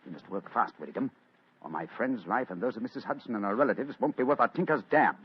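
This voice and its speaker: Man acting as a commanding male detective, fast-talking, lowish voice, dull old radio sound. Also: expressive. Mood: brisk. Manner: crisp, authoritative, quick-witted. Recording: dull old radio recording, faint hiss.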